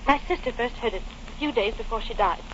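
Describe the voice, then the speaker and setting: A woman's voice: fairly high pitch, fast talker, lightly acted. An actress playing a frightened, well-bred young English woman in a 1940s radio melodrama, intense and tremulous. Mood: dread. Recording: muffled, noisy old-time radio recording.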